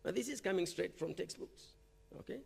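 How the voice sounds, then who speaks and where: A man from Fiji gives a talk on teaching at a university forum. His voice sits high and carries a gravelly rasp. He keeps it conversational, pausing often to ask the audience "okay?". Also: animated, darkish tone. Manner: conversational, frequent "okay?" check-ins. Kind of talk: conference talk. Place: lecture hall or forum room.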